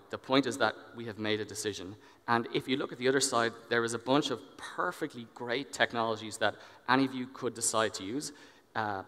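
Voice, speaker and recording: Bright-voiced man presenting in a reverberant hall. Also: mid-range voice. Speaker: engineering director, conference speaker. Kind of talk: conference presentation. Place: large indoor stage in a conference hall. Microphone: headset. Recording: long hall reverb from stage PA.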